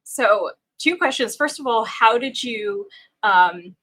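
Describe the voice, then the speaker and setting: Bright-voiced woman interviewer. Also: a little high, lively intonation, takes it slowly. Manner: hesitant, with fillers. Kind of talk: interview questions.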